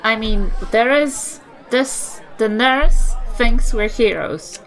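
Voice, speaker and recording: An affectionate old granny adventurer, played by a woman in a tabletop game. She speaks slowly and deliberately, with a fairly high voice and a grandmotherly manner. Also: a bit bright, faint music behind, rough recording. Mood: kindly, resolute.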